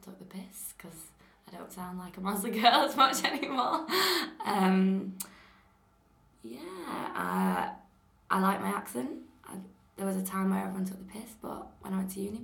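A young woman with a Lancashire accent reads a passage in a clear, measured way, then slips into relaxed, chatty talk. Her voice sits a little low, and the recording carries the echo of a roomy space. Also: a little hiss or hum. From northern England. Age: young adult. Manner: clear, measured reading; relaxed and chatty when talking freely.

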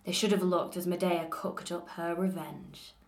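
A young woman with a Lancashire accent reads a passage in a clear, measured way, then slips into relaxed, chatty talk. Her voice sits a little low, and the recording carries the echo of a roomy space. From northern England. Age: young adult. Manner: clear, measured reading; relaxed and chatty when talking freely.

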